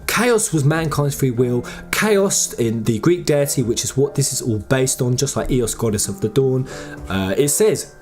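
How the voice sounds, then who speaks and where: A man giving informal fan theory commentary as a channel host, speaking emphatically and directly to his viewers. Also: on the high side. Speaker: host of a video game lore channel.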